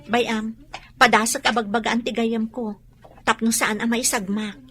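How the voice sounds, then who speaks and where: Earnest, slightly high-voiced young Filipino woman played by an actress. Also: fairly even intonation, moderate pace, a bit bright, lightly acted. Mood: concerned. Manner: questioning, earnest. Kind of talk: radio drama acting.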